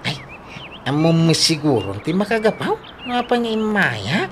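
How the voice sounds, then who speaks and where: A woman's voice: low voice, sing-song, unhurried. An actress playing an emotional, fretful grieving woman in an Ilocano radio drama, with exclamatory, tearful delivery and an Ilocano accent. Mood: subdued. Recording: faint background noise.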